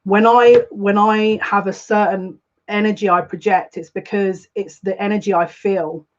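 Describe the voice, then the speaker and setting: A woman's voice: mid-range voice, steady tone, a little slow, slight background noise. A woman speaks earnestly and confidingly, circling back to repeat her own phrases as she gives a live spiritual reading. Her voice sits in a middle register. The livestream audio is a little dull and lo-fi. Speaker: psychic livestream host.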